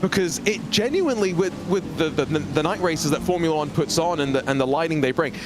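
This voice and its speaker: High-voiced race commentator from Singapore. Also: male voice, brisk, background noise. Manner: fluent, polished play-by-play, storytelling asides. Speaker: sim racing commentator.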